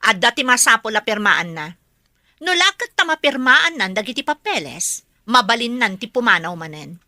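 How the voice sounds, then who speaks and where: A woman's voice: a little high, big pitch swings, moderate pace, sharp tone, dry recording, studio quality, heavily acted. A woman with an Ilocano Filipino accent playing a bossy, greedy schemer in a melodramatic drama. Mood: bossy, impatient. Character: scheming greedy woman plotting to seize an inheritance.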